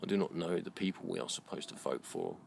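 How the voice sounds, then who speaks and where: A middle-aged British man speaks in a very low voice with a gravelly creak. He delivers a reflective monologue in short, deliberate phrases, earnest and halting. Faint ambient noise sits behind him. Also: very animated. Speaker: video blogger. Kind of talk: slow reflective monologue to camera.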